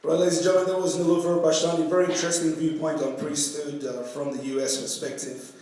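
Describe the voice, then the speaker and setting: A man moderating a conference session, introducing the next speaker with halting ums and uhs. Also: a little high, little pitch movement, a little mellow, slight background noise. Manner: halting, filler-heavy. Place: large conference hall. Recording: echoey hall from far off.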